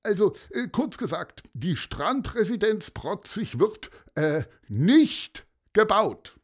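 A man's voice: high voice, sing-song, slow, pitched above the performer's own voice, full character voice. A man voicing a vain, long-winded mayor who makes grand speeches and hems and haws. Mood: flustered. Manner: pompous, speechifying, hemming with "äh".

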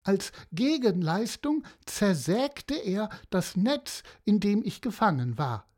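Woman reading a storybook seahorse: slow, stammering fibber, sing-song sadness. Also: medium pitch, very animated, darkish tone, voice pushed up. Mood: woeful. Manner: slow, hesitant, stammering with fillers. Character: rambling, woeful female seahorse telling tall tales. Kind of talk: storybook character voice.

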